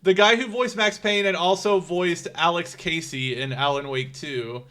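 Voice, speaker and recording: Man, podcast co-host, casual chat, bright voice, laughs a lot, stumbles over words. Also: high-pitched, moderate pace. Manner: chatty, laughs often, trips over words.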